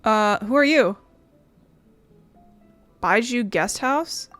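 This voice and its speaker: A woman's voice: fairly high pitch, lively intonation, drawn-out words, fairly bright tone, no echo. A woman giving casual gameplay commentary as a let's player, muttering and self-deprecating. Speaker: game streamer, let's player. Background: game music.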